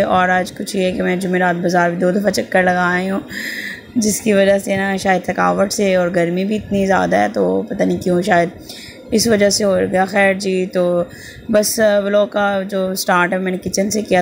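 Pakistani mom in her thirties, hoarse and rambling homely voice-over, low-key and monotone. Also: a little hiss or hum. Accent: Pakistani Urdu. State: sore throat, slight fever. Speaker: vlogger, mother. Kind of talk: casual voice-over narration. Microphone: voice-over at close mic.

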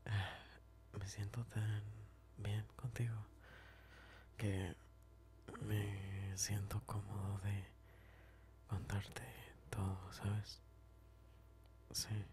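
A man playing a smitten, tender and teasing best friend in an ASMR roleplay, speaking softly and hesitantly, often whispering and repeating himself. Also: lowish voice, flat delivery, extremely slow, pauses often, a little hiss or hum. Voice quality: soft, breathy, often whispering. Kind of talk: ASMR roleplay, intimate chat.

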